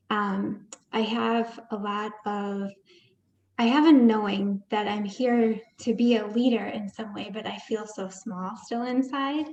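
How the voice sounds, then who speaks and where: A woman sharing softly on a webinar call why she came to the healing session. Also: on the high side, echoey room, muffled recording, slight background noise. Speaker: webinar attendee. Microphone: video call.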